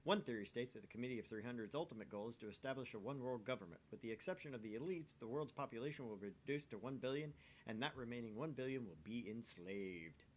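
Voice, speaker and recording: A man reading a visual novel aloud for a let's play, in a dramatic, expressive way with casual asides. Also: mid-range voice, fast, few pauses, a little hiss or hum. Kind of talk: voice-acted read-through with casual asides.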